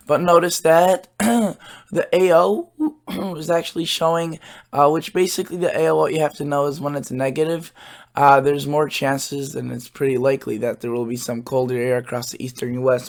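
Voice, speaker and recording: A man hosting his weather channel, his voice a bit hoarse, explaining forecast charts in a casual, halting way with lots of filler words. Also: mid-range voice, fairly even intonation.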